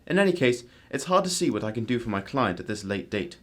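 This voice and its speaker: A man playing a composed, courteous, analytical gentleman detective with a formal, measured manner in an amateur radio drama. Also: medium pitch, very animated. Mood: genial, composed.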